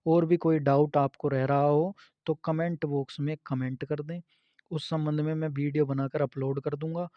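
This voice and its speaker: A man's voice: on the high side, flat delivery, moderate pace, no echo. A man giving an informal, instructive explainer, repeating points and checking in with listeners. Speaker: host of an exam information channel. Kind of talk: informal explainer addressed to exam candidates. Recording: dull, muffled lo-fi sound.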